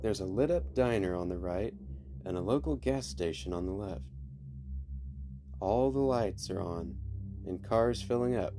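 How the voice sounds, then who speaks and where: A man reading creepy stories as a podcast host, in scripted narration with measured, deliberate phrasing and dramatic pauses. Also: deep voice, lively intonation. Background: faint music.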